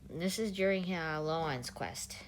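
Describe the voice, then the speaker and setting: A woman's voice: deep voice, a little slow, a little hiss or hum. A woman game streamer chatting casually on a livestream as she plays. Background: game music.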